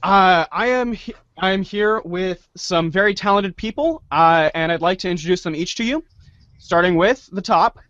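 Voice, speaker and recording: Animated high-voiced male host. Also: moderate pace, dry recording, dull audio. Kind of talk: casual show hosting.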